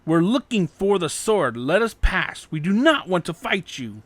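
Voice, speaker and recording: Male reader as young male hero: earnest, urgent, raised pitch. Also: big pitch swings, unhurried, no echo, full character voice. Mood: firm. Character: earnest, determined young male demon slayer.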